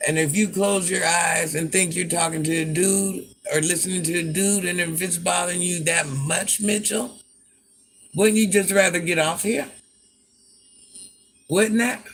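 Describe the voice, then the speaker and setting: A grandmother with a deep voice, chatting on a livestream and talking back to commenters with sass. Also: fairly even intonation, a little slow, slightly rough. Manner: sassy, talks back to commenters by name. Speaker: livestream host. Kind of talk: casual livestream chat.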